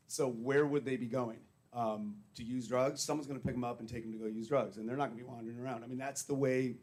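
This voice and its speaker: Brief: man, a little hoarse, blunt and plain-spoken, explaining his facility at a public meeting. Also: medium pitch, a little mellow. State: slightly hoarse. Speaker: treatment facility director.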